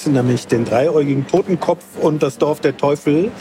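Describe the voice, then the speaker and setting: Slightly high male voice, German accent, casual interview guest, noticeable ambient noise. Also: moderate pace. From Hanover, Germany. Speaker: comic author and interview guest. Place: book fair. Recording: clear background noise, busy book fair.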